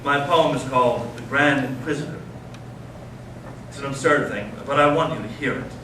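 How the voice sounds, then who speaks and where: A man's voice: medium pitch, moderate pace, lightly acted. Man acting intense intellectual brother, echoey stage. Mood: hushed storytelling. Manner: dramatic, swinging from hushed to impassioned. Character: Ivan, intense intellectual elder brother, anguished and mocking. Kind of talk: staged readers theater monologue and storytelling. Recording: echoey stage, distant mic, clear noise.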